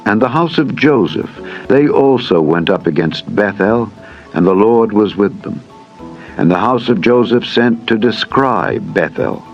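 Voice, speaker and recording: A man's voice: lowish voice, lively intonation, a little slow, highs cut off. A man narrating a dramatized Bible reading in a solemn, formal manner. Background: music.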